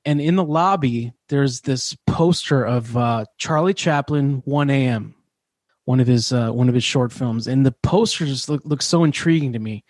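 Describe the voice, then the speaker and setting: A man's voice: mid-range voice, moderate pace, a little mellow, no room sound. Chatty man, dry close sound. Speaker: podcast host. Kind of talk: casual conversational interview.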